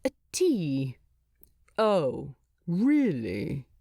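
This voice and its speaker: A woman's voice: sits low, wildly swooping pitch, drawn-out words, creaky, warm tone, dry recording, studio quality, exaggerated voice. A woman voicing a polite but sarcastic male hobbit in a storybook, with an arch, wry manner. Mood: snarky.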